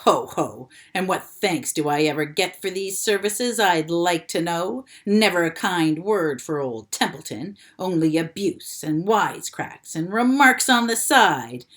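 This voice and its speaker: A lazy, grumpy male rat who drawls his complaints slowly and mockingly, as a woman puts him on. His voice is a touch lower and gruffer than the reader's own. It is a storybook read-aloud. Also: animated, a bit bright. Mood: sarcastic.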